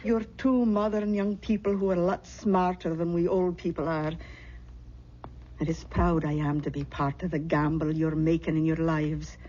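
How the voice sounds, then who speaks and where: An actress playing a kindly older aunt, a wistful and regretful spirit medium, in a radio drama. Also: female voice, low-pitched, level tone, normal speed, mellow. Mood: fond. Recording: dull noisy old radio recording.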